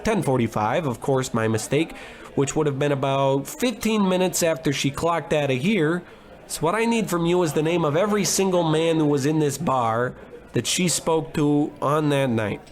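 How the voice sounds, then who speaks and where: A man's voice: on the high side, lively intonation, normal speed, close to an everyday voice. A man playing a hapless, hard-drinking, cocky private detective in a comedic old-time radio noir drama, given to wisecracks and melodramatic monologue. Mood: stubborn, brash. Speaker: narrator and lead actor.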